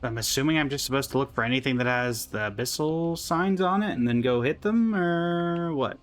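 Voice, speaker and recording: A man's voice: medium pitch, expressive, brisk, soft background music. A man hosting a game reaction video, casually musing to himself while he plays. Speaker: gaming channel host.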